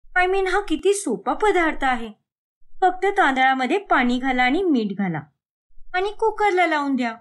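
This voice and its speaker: A woman playing a cheeky, whiny young adult daughter in an animated moral story, complaining and protesting. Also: high-pitched, moderate pace.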